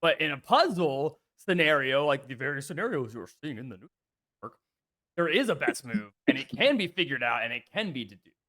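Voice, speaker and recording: Bright, animated man telling stories. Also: medium pitch, brisk. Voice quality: raspy. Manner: animated storyteller, swears, acts out quotes. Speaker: chess stream co-host. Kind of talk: casual chat.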